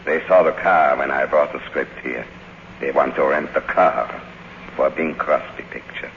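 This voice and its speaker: An extremely deep male voice in long reverb on a muffled old radio recording, an older butler. He is solemn, devoted and formal, measuring out short phrases in vintage drama style. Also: moderate pace, vocal fry, background noise. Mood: grave. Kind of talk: 1950s radio drama acting.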